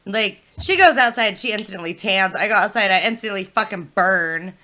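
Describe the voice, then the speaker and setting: A woman hosting a casual livestream chat, swearing freely and filling her talk with "like" and quick laughs. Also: mid-range voice, animated, pushed and bright. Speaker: stream host.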